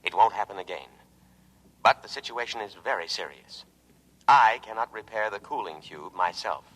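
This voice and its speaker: Talking lifeboat computer, 1950s radio sci-fi, bright hard tone, formal, precise, officious, dutiful. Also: male voice, lowish voice, animated, moderate pace, low-quality recording. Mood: grave, dutiful. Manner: formal, precise, patriotic, unyielding. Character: sentient military lifeboat machine, officious, dutiful, solicitous. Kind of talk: 1950s radio drama acting. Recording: vintage radio recording.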